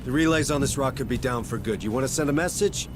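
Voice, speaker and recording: An actor playing a hard-bitten, no-nonsense marine sergeant in a video game, blunt, sardonic and commanding. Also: male voice, fast, close to an everyday voice. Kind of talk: video game cutscene acting. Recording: loud music and vehicle rumble behind.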